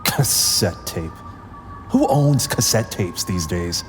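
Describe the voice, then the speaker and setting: An actor playing a weary, sarcastic but dedicated paramedic driver in an audio drama, gruff and exasperated. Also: male voice, deep voice, lively intonation, takes it slowly. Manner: sardonic, exasperated, swearing. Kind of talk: audio drama acting with internal narration. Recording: clear vehicle ambience behind the voice. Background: ambulance and traffic sound effects.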